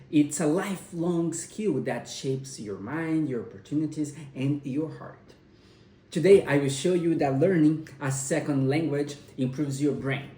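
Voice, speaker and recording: A young man whose first language is Portuguese delivers a class speech, pausing and restarting as he searches for words. His voice sits a little high and sounds soft and mellow. The recording is roomy and picked up from a distance. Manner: hesitant, with frequent pauses and restarts. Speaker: college student giving a speech.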